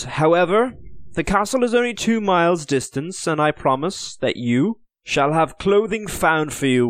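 A man doing a smooth, lying English captor. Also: on the high side, no room sound, pitched above the performer's own voice. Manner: measured, placating formal speech.

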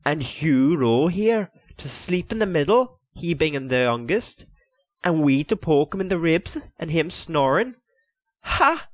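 A man's voice: fairly high pitch, very animated, unhurried, no echo, pitched above the performer's own voice. A man reading aloud voices a cheeky young lad who jokes and clowns around. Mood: joking. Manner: cheeky, mock-dramatic. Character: young joking lad, lanky and playful.

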